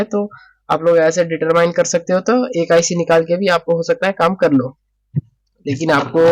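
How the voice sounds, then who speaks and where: A man with a fairly high voice teaches in a casual, explanatory way, like a technician at the bench. He repeats words, drops in English technical terms and keeps checking in, with a dry, close sound. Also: no room sound. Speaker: phone repair technician and tutor. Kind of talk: casual spoken repair tutorial.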